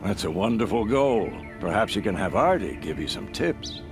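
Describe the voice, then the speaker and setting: A dignified older hunter with a low, weighty voice, guarded and formal in a dramatic fantasy game style. Loud music runs behind him. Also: male voice, very animated, normal speed. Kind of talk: dramatic video game acting.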